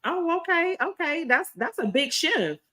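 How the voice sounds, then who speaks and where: Female podcast host, slightly raspy, bright tone, effusive preacher-like delivery. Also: very high-pitched, big pitch swings, a little slow. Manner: effusive, repetitive, preacher-like cadence. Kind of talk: enthusiastic podcast intro.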